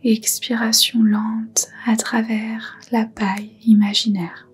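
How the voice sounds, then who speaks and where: Slow whispering woman guiding meditation. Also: fairly high pitch, little pitch movement, soft background music. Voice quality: breathy, whispery. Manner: soothing, unhurried, gentle. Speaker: yoga nidra guide. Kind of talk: guided meditation, soft whispered narration.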